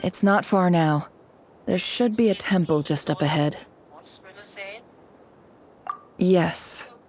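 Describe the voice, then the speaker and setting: A woman playing a cold, cunning, vengeful desert information broker in a video game, speaking in a measured, sardonic, superior way. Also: fairly low pitch, darkish tone, natural voice. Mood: wry, composed. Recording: music playing under the voice.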